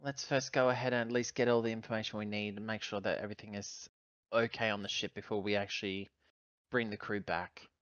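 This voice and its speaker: A man's voice: on the low side, steady tone, brisk, fairly bright tone, dry recording, dull audio. A man chatting casually as a player in a tabletop roleplaying game.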